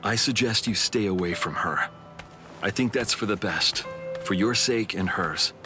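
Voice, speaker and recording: An actor voicing a grave, composed warrior in an anime game, serious and restrained. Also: male voice, lowish voice, unhurried, close to an everyday voice. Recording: loud music behind.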